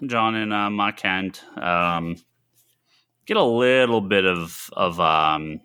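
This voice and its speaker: A man chatting casually as a podcast co-host, thinking aloud in a halting, rambling way. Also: low-pitched, sharp tone, clear, resonant tone. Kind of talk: casual chat about comics and films.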